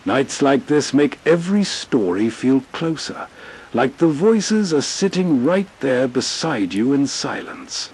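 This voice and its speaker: Husky, slow-speaking male host. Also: mid-range voice, a little mellow. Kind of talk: soft, atmospheric scripted narration.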